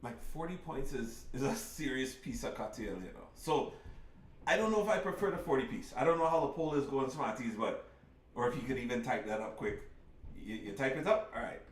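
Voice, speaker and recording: A man's voice: medium pitch, lively intonation, a little fast, far from the mic. A middle-aged man co-hosting a casual sports talk show, earnestly building his argument step by step. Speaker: podcast co-host. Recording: roomy sound, mic set back.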